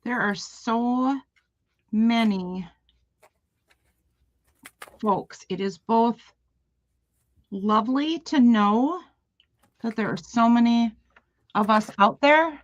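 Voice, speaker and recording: A woman's voice: fairly high pitch, drawn-out words, pauses often, no echo. Chatty woman, lo-fi stream audio. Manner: curious, gushing, laughs often. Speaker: guest on a craft livestream. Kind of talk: casual chat.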